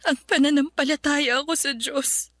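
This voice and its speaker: An actress playing a remorseful young woman covered in sores, speaking softly and apologetically in a radio drama. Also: high-pitched, steady tone, measured pace, no echo, soft background music. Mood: downcast. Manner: soft, downcast and apologetic.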